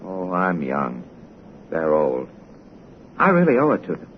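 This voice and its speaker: An actor playing an earnest, gentle young American drifter in an old radio drama, speaking politely and expressively with an American accent. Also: male voice, deep voice, huge pitch swings, measured pace, exaggerated voice. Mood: wistful. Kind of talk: 1930s radio drama acting. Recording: muffled, noisy old radio recording.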